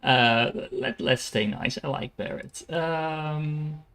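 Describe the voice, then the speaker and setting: A man's voice: a little high, slow, pushed and bright, clear, resonant tone. Man musing aloud as he streams a game. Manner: musing aloud, dryly joking. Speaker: livestreamer playing a game. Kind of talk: casual live game commentary.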